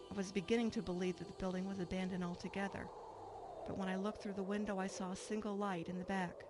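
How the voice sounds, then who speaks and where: A woman's voice: mid-range voice, natural voice. An actress playing a troubled middle-aged woman, earnest and reflective, in a narrated radio drama. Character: troubled, wistful woman returning home to her estranged mother. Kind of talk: radio drama acting with first-person narration. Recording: clear background noise.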